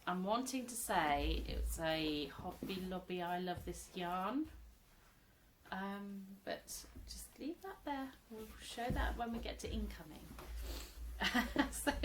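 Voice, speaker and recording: A woman in her thirties, chatting casually at home about her crochet, a little croaky from a cold, rambling and laughing often. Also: medium pitch, animated, measured pace, a bit bright, a little hiss or hum, low-quality recording. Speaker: crochet vlogger, mother. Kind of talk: casual show-and-tell chat.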